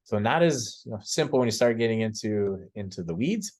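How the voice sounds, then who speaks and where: A man giving an explanatory business presentation in a conversational, halting way, often repeating words as he thinks. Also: fairly low pitch, expressive, a little mellow. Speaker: company presenter.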